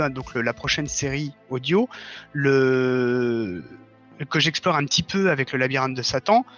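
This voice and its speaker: A man's voice: fairly high pitch, sharp tone, dull audio. A man speaking as a guest in a conversational interview, explaining his views earnestly. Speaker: interview guest, audio fiction writer.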